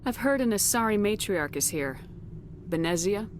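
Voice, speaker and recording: A woman's voice: medium pitch, animated, normal speed, a little hiss or hum, close to an everyday voice. A woman playing a direct, probing military commander in a video game cutscene. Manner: direct, businesslike questioning.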